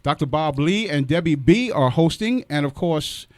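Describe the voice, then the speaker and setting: A man's voice: a little high, lively intonation, moderate pace, a little mellow, dry recording. A man hosting a radio show in a casual, joking way, often repeating himself. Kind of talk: casual chat.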